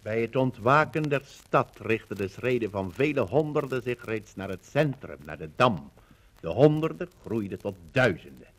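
A man's voice: mid-range voice, expressive, normal speed. A man giving formal, dramatic scripted narration for a 1950s radio documentary.

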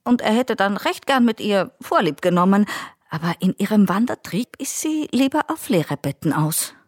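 A woman's voice: mid-range voice, expressive, normal speed, no echo, studio quality, natural voice. An actress playing a chatty Austrian care-home nurse who gossips dotingly about her elderly residents in a radio play monologue. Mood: doting, gossipy.

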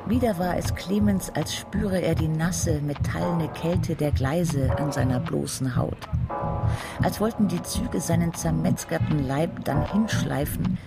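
Creaky male narrator over loud music. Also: a little high, unhurried. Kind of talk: literary radio drama narration.

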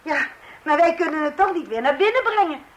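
A very high-pitched, flustered housewife in a radio play. She is polite and falters as she speaks, hesitant and unsure of herself. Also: animated, fairly quick. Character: flustered, polite housewife, wife of a clumsy do-it-yourselfer. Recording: old radio play recording.

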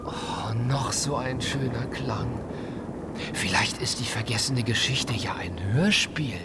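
A man's voice: medium pitch, takes it slowly, very noisy. A man playing a timid, dreamy young piglet in a children's radio play, speaking hesitantly and full of wonder.

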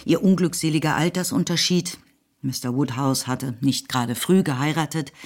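Low-voiced woman narrating a literary radio play. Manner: measured, expressive storytelling. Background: faint music.

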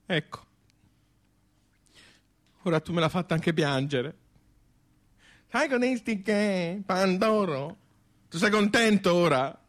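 Grumbling old Tuscan husband. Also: male voice, high-pitched, expressive, a bit bright, full character voice. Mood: reproachful. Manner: halting, colloquial, seeks agreement with tag questions. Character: grumbling old husband, married sixty years, plain-spoken.

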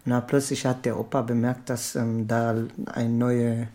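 A man's voice: mid-range voice, flat delivery, normal speed, warm tone. A man retelling a story in casual, hesitant German, stopping often to search for words. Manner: hesitant, many fillers and restarts in non-native German.

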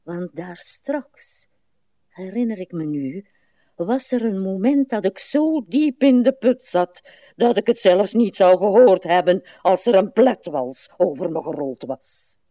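An actress playing a weary, morbid, rambling old woman in a radio play, with slow, plaintive delivery. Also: mid-range voice, animated, warm tone, dry recording, studio quality, close to an everyday voice. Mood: reminiscing, rambling. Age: older.

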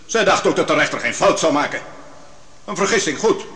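Commanding, righteous courtroom challenger in ringing hall. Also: male voice, fairly high pitch, expressive, brisk, a bit bright. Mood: indignant. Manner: commanding, probing, rhetorical. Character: bold, righteous man cross-examining witnesses in biblical court. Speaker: actor in a radio play. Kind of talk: Dutch radio drama acting. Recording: long hall reverb.

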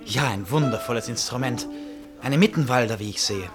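An actor playing an intense, restless stranger in a radio play, speaking in an urgent, pleading way and often repeating himself. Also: male voice, mid-range voice, a little fast, over music, lightly acted. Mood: emphatic. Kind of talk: German radio play acting.

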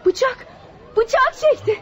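An actress playing a frightened young mother, shrill and pleading, in a radio drama. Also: slow, a crowd in the background. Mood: terrified. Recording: noisy old radio drama.

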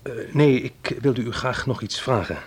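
Casting: male actor for polite club proprietor on the defensive, hesitant radio-play delivery, rough-edged medium voice. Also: mid-range voice, moderate pace, natural voice. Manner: hesitant, formal, careful. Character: polite, uneasy club owner defending himself.

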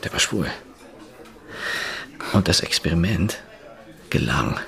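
A gravelly, shaken journalist. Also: male voice, sits low, sing-song, slow, fairly bright tone, exaggerated voice. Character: shaken journalist Pit Kern, beaten up. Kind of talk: radio drama acting.

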